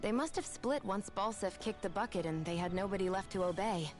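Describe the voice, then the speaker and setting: A woman's voice: mid-range voice, brisk, lightly acted. An actress voicing a dry, level-headed young woman companion in a video game, wry in manner. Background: game music.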